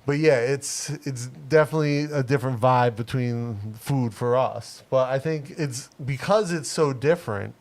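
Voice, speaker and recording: A man in his forties co-hosting a casual livestream chat, relaxed and full of "like" fillers, laughing often. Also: mid-range voice, normal speed, hardly any pauses. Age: 40s. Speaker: livestream co-host.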